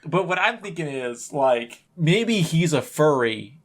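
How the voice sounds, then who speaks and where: A man co-hosting a podcast, chatting casually in a halting, jokey way as he riffs on ideas. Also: a little high, expressive. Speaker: podcast co-host. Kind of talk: casual comedic chat.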